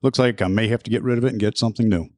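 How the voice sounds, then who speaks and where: A man's voice: on the low side, fairly even intonation, racing, darkish tone. A man with a Chicago accent, co-hosting a casual podcast chat, joking and laughing easily. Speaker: podcast co-host.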